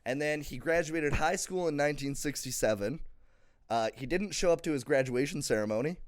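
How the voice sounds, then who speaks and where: A man's voice: on the high side, brisk. A young man hosting a comedy podcast, chatting casually and breaking into silly impressions and sung bits.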